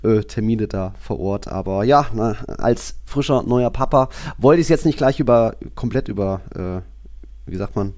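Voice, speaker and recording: Low-voiced man in casual podcast chat. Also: measured pace, a little mellow. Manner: rambling, colloquial storytelling. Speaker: football podcast co-host reporting from a match.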